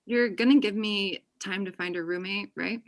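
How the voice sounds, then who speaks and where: A woman's voice: medium pitch, normal speed, natural voice. A young woman playing a chatty, overenthusiastic and possessive best friend in a comedy table read over a video call. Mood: indignant. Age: young adult. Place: indoor room on a video call.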